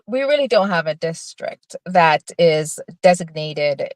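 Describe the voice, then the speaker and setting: Woman, 40s, from Jamaica, low pitch, dry sound, earnest, steady. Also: dry recording. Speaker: political candidate. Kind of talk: interview answers.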